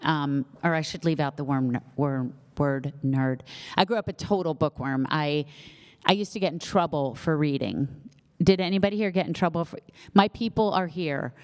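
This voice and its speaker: A middle-aged woman with a slight lisp talks with an event audience in a loose, self-deprecating way, full of "um"s and asides. Her voice sits a little low and sounds smooth and clear. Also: normal speed. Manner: chatty, warm-humored, self-deprecating, many fillers. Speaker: author at book launch. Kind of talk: casual Q&A chat with audience. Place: event hall with live audience.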